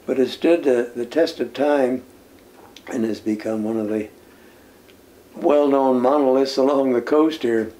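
An older man, a former lighthouse keeper, recounts his service in an unhurried interview, pausing often with "uh" and soft chuckles. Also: mid-range voice. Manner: unhurried storytelling with many "uh" pauses and soft chuckles. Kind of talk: reminiscing interview answers. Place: indoor room lined with nautical memorabilia. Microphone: seated interview, camera at medium distance.

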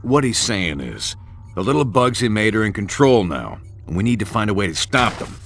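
An actor playing a wry, blunt military intelligence chief in a video game briefing. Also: male voice, lowish voice, big pitch swings, moderate pace, fairly bright tone, a little hiss or hum. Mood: wry, commanding.

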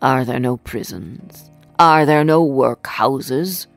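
A woman's voice: a bass voice, slow, vocal fry, dry recording, soft background music, pitched below the performer's own voice, full character voice. A woman voicing an impassioned, exclaiming old miser in a storybook reading. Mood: shocked. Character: miserly old man, frightened, pleading, then giddily joyful.

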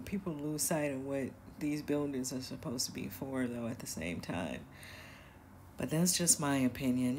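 A woman hosting a casual commentary show, her voice slightly gravelly with some vocal fry. She rambles through her opinions with plenty of fillers and hesitant restarts. A faint hiss of noise sits behind her. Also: rumbling low, a little mellow. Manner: chatty, hesitant, full of fillers and little laughs. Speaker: commentary channel host.

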